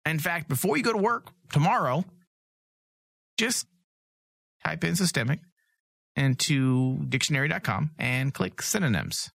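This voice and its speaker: A man co-hosting a podcast, going hoarse, ranting sarcastically and swearing freely in crude comedic banter. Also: a little high, lively intonation, a little slow, pauses often, fairly bright tone, no room sound, studio quality. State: losing his voice. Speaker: podcast co-host.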